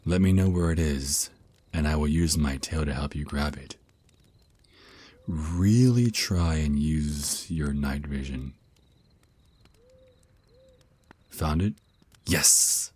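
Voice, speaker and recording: A man playing a centuries-old vampire naga boyfriend, a tender mentor speaking slowly and soothingly in an intimate ASMR roleplay, with a very deep, husky voice. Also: a little mellow, full character voice. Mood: tender, encouraging. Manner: slow, soothing, teasing endearments.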